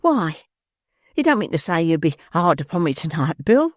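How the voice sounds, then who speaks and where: A man voicing a pale, worn young woman who speaks softly and pleads tenderly, raising his voice high for her. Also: very animated, moderate pace, no echo, clean studio sound. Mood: pleading.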